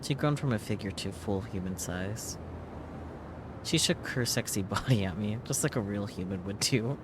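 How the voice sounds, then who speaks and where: A man's voice: on the low side, moderate pace, background noise. A man streaming a visual novel, reading the game's text aloud as dramatic narration. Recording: loud music behind the voice.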